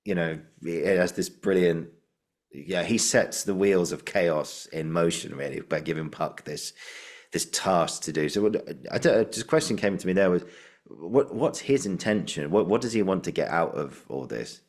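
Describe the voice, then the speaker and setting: A man's voice: sits low, expressive, normal speed, a little mellow. A man hosting a podcast interview in an informal, jokey, conversational way, thinking aloud as he goes. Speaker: podcast host interviewing a guest.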